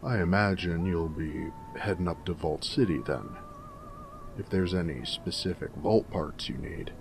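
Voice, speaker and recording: Weathered, friendly male ghoul, audio roleplay: wry and joking, heavy gravel and fry, unhurried drawl. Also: low voice, background noise, full character voice. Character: snarky, friendly male ghoul wasteland companion, weathered and irradiated. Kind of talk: character roleplay audio drama.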